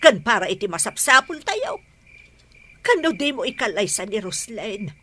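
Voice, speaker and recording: A woman's voice: medium pitch, very animated, normal speed, sharp tone, slight background noise. An actress with an Ilocano accent playing a nagging, money-minded older mother in a radio drama, by turns pleading and scolding. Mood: pleading, pressing.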